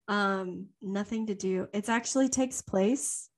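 A woman's voice: mid-range voice, measured pace. A young woman co-hosting a podcast, telling a story from memory in a rambling, chatty way with plenty of ums and laughs. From Louisiana. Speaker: podcast co-host. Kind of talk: casual chat, unscripted storytelling.

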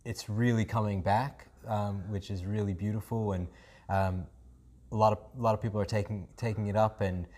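A man's voice: on the low side, steady tone, moderate pace, mellow. Enthusiastic New Zealand man hosting. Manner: enthusiastic, rambling with many fillers. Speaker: podcast host. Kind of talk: casual chat.

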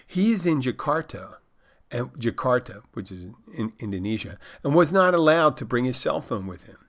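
A man's voice: mid-range voice, very animated, normal speed, fairly bright tone. A man voicing a naive fourteen-year-old Polish expat boy, reading out stilted, overly formal text messages. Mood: earnest deadpan.

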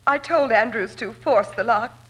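Husky, tearful young lady. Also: fairly high pitch, moderate pace, fairly bright tone, rough recording. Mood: shaken, tearful. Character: distraught genteel daughter of a murdered man. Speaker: actress in a radio drama. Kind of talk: 1940s radio acting.

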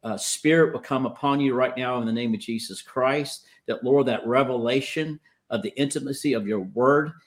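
A man in his fifties with a speech impediment, preaching and praying spontaneously in a halting, repetitive way on a livestream. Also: medium pitch. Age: 50s. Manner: halting, repeats and stumbles over words. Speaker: preacher leading prayer. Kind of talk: spontaneous charismatic prayer and exhortation. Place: online livestream call.

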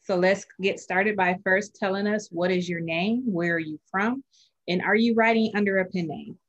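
A woman's voice: medium pitch, moderate pace, dull audio. A woman hosting an interview show, upbeat and encouraging toward her guest. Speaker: podcast host.

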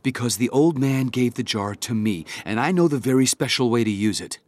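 A man playing a humble, gentle fisherman and younger brother, polite and earnest, in a children's audio drama. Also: mid-range voice, animated, brisk, no echo, close to an everyday voice. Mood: quietly firm.

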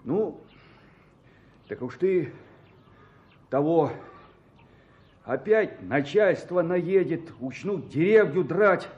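Old peasant in a radio play, highish voice, folksy village talk, bit noisy recording. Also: male voice, expressive, drawn-out words, pauses often, lo-fi sound. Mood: earnest, persuading. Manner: folksy rural peasant speech. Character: talkative old village peasant man, pleading and insistent. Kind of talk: Russian radio play acting.

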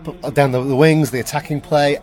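Quick-talking man. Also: fairly high pitch. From Liverpool, England. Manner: enthusiastic, animated analysis. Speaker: football fan interviewee. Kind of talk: excited post-match fan talk. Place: crowded bar.

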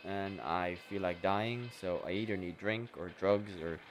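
A man playing an unhinged, childish, foul-mouthed vigilante killer in a dark comedic radio play, rambling theatrically between whispers and outbursts. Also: deep voice, a little slow. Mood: cheeky.

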